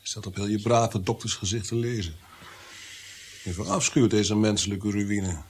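A low-voiced, guilt-ridden nobleman played by a man in a radio play, bitter and menacing. He speaks theatrically and broods, with clear hiss behind him. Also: normal speed, lightly acted. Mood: brooding, anguished. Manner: brooding, theatrical, drifting into whispers. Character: tormented guilt-ridden prince-composer, bitter, menacing, fearing God.

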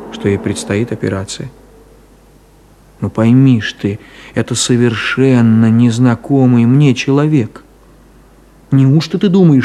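A man's voice: medium pitch, dark tone, lightly acted. An actor playing a cynical, detached middle-aged man in a 1970s Soviet radio play, with an ironic, clipped theatrical delivery.

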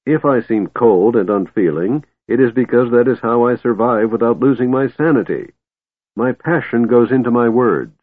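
A man voicing an ageless male chronicler in a fantasy audiobook, cold and grave, declaiming in a slow, solemn way with anger held in. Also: lowish voice, big pitch swings. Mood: proud anger. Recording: muffled phone-like audio with room echo.

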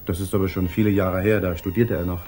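A man's voice: deep voice, fairly even intonation, fast talker, darkish tone, lightly acted. A man playing a polite, eager, eccentric painter in a 1950s German radio play. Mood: insistent, cheerful. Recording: vintage 1950s radio recording, clear background noise.

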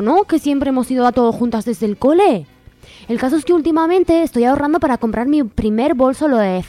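A woman's voice: a little high, darkish tone, no echo, natural voice. A woman playing a naive, indignant posh young woman in a parody advice letter, with affected, melodramatic talk.

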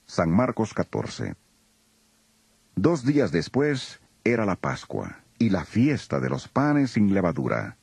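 A man's voice: fairly low pitch, sing-song, slow pace, creaky, darkish tone, husky, no room sound. A man narrating a dramatized scripture recording as a solemn storyteller.

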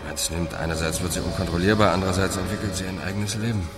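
A man playing an earnest, visionary AI programmer in a radio drama, speaking gently and a little hesitantly. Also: low voice, steady tone, quick pace, natural voice. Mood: thoughtful. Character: earnest, visionary male AI programmer, devoted to his creation. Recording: long reverb, music behind.

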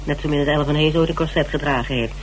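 A woman's voice: deep voice, steady tone, very fast, natural voice. A woman in a Dutch radio drama playing a shrewd, composed investigator with a probing, matter-of-fact manner. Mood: blunt, persuasive. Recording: noisy, humming old radio recording.

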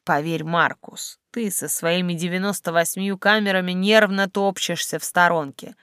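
Woman reading as a roguish young man, low-pitched. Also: steady tone, moderate pace, fairly bright tone, clean tone, no echo, studio quality, natural voice. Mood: rueful. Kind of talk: audiobook character acting.

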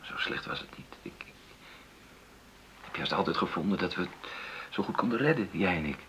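An actor in a radio drama playing an earnest, conciliatory middle-aged husband who stammers and searches for words in a creaky voice. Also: male voice, low-pitched, expressive, normal speed, fairly bright tone, a little hiss or hum. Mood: hurt, hesitant. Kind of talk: naturalistic radio drama dialogue.

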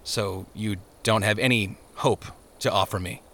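A man's voice: on the low side, fairly even intonation, a little slow, sharp tone, a little hiss or hum. A man voicing a burdened young husband in an audiobook story, earnest, subdued and weary. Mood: gloomy, earnest.

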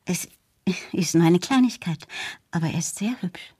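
Breathy, fragile, melancholy mother. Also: lowish voice, lively intonation, normal speed, dry recording, clean studio sound. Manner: soft, wistful, hesitant, near whispering at times. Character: frail, melancholy, withdrawn middle-aged mother. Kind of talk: radio drama acting.

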